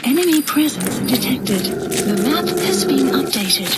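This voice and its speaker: Female computer assistant, high and clipped, impersonal game alerts over loud ambient noise. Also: very animated, unhurried, heavily acted. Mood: impassive. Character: female computer assistant, impassive system announcer. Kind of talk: scripted video game announcements.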